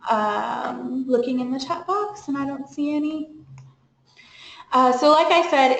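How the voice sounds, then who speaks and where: A woman's voice: fairly high pitch, reverberant, low-quality recording. A young woman on a study abroad program staff, giving an informal webinar briefing in a chatty way, with lots of fillers and small laughs.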